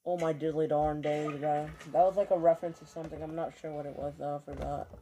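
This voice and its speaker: A man's voice: fairly high pitch, flat delivery, quick pace, dark tone, a little hiss or hum. A man chatting casually to his stream while he plays, rambling with lots of fillers and offhand swearing, keyboard clicks behind him. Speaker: game streamer.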